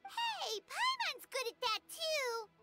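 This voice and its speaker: A woman voicing a tiny, excitable, childlike fairy girl companion in an anime game, exclaiming a lot. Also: very high-pitched, slow, over loud music, full character voice. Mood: eager. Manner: exclaiming, refers to self in third person.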